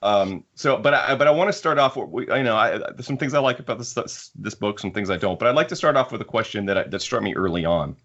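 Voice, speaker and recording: Low-voiced male podcast host, slightly dull audio. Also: expressive, fast talker, few pauses, a bit bright. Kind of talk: casual discussion of sci-fi books.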